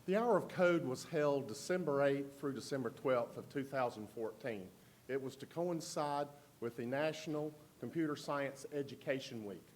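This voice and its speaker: A man who works as a school technology facilitator, giving an informative presentation to a board meeting with frequent pauses and fillers. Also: medium pitch.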